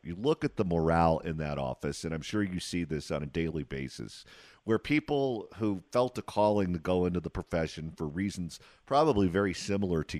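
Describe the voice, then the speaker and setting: Deep-voiced man hosting a radio interview. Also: no echo, studio quality. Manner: conversational, long winding questions. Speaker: radio talk show host.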